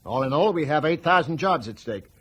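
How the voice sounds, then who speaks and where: Ruthless corporate boss, bossy and impatient, slightly high voice, radio play. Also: male voice, expressive, fairly quick, breathy edge. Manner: brusque, domineering. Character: ruthless, impatient male aircraft company boss. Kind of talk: BBC radio drama acting.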